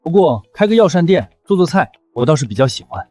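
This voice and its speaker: An actor playing an earnest, kind-hearted young village healer, scripted and sincere, tripping over words when flustered. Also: male voice, fairly high pitch, sing-song, quick pace, darkish tone, faint music behind. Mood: humble, earnest. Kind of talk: scripted drama acting.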